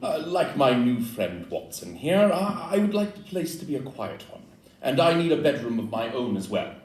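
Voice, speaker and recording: A man acting a precise, self-assured Victorian gentleman detective with dry, formal wording in a live audio play. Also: medium pitch, very animated, normal speed, natural voice. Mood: polite, reserved. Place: live performance in a club room. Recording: distant roomy live recording, faint noise.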